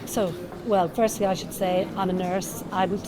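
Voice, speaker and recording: A woman's voice: mid-range voice, steady tone, moderate pace. A woman, a nurse by background, answering interview questions at an event in an earnest manner, restarting and thinking aloud. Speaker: nurse and transformation consultant. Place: conference event. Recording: busy crowd noise behind.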